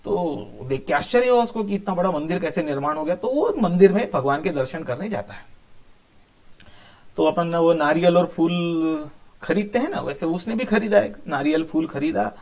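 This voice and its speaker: A man's voice: on the high side, lively intonation, normal speed, a little mellow. A man telling a moral story informally, explaining as he goes with conversational asides and some English words mixed in. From India.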